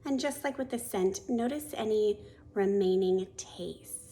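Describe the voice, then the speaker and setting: Female meditation guide: soft, soothing delivery, very unhurried, with noticeable fry; some ambient noise. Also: mid-range voice. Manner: soothing, unhurried, gently instructive. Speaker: yoga and meditation teacher.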